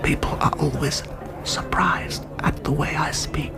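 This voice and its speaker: A whispery, creaky, timid gentleman suitor. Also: a little high, little pitch movement, a little slow. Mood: shy, hesitant. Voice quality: quiet, whispery, weak voice. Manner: hesitant, apologetic, formally polite. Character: shy, well-spoken gentleman suitor with a weak whispering voice. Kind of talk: period radio drama acting. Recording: music behind the dialogue. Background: music.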